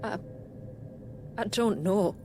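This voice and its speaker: A woman playing a resolute, solemn village leader in a video game, speaking in a measured, formal way. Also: medium pitch, darkish tone, slight background noise, lightly acted. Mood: troubled. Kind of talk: video game cutscene acting. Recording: music behind the voice.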